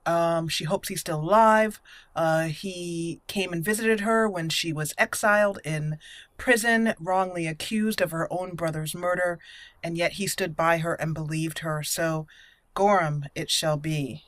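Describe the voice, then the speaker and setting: A woman with a slightly low voice hosts a gameplay video. She comments casually and tells the story of her roleplay as she goes. She thinks aloud, hesitating between phrases. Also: steady tone, a little slow, no echo. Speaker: gameplay host.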